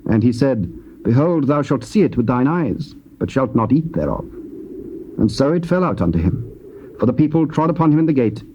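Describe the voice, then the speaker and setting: Solemn male narrator with a mellow voice. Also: mid-range voice, lively intonation, slight background noise, rough recording. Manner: measured, solemn reading. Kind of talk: scripted scripture narration.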